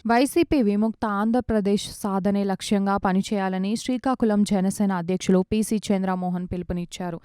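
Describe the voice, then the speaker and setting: A woman's voice: mid-range voice, a little mellow, clean tone, dry recording, studio quality. A woman reading scripted news as a news reader.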